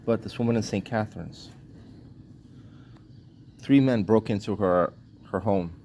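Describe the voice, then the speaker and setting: A man's voice: fairly low pitch, a little slow, a little mellow, slight background noise. A man from Trinidad and Tobago delivering a blunt, indignant vlog rant in short clipped sentences, swearing freely. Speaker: vlogger. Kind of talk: ranting monologue.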